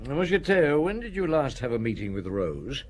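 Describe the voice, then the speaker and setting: An actor playing a calm, probing middle-aged police inspector in a radio drama. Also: male voice, medium pitch, slight background noise, close to an everyday voice. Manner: measured, quietly probing.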